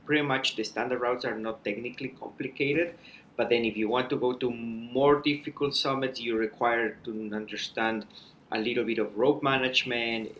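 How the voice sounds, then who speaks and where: A man, a mountain guide talking as a podcast guest in a measured, thoughtful way with frequent restarts. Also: mid-range voice, steady tone, a bit bright, muffled recording, a little hiss or hum. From Ecuador. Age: 30s. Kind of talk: thoughtful conversational storytelling.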